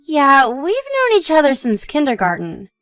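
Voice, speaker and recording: Woman acting a high-voiced, lively young wife. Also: moderate pace, dry recording, soft background music, exaggerated voice. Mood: chatty, even-tempered. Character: supportive, modest young wife chatting with her husband. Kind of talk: scripted dialogue for an online story drama.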